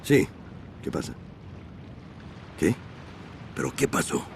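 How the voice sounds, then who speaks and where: An actor playing a domineering, menacing gang boss with a gravelly voice and a Spanish accent in a video game. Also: male voice, a bass voice, very animated, a little slow, a little mellow, a little hiss or hum, full character voice. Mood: commanding. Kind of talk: video game cutscene acting.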